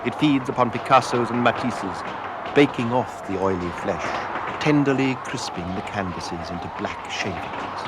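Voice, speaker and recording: A man's voice: on the low side, normal speed, over a noisy crowd. A man giving an intense, theatrical narration of a story, as in a scripted radio drama. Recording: noisy 1970s radio drama with effects. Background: loud sound effects.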